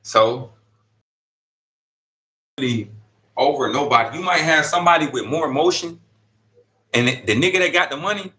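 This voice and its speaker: A man's voice: on the high side, moderate pace, long pauses, bright, forceful tone, poor audio. A man in his thirties, an incarcerated interviewee, talking casually in street slang and often checking in with the listener. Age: 30s. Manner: street slang, keeps asking "you see what I'm saying". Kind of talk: casual conversational storytelling. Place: prison dorm.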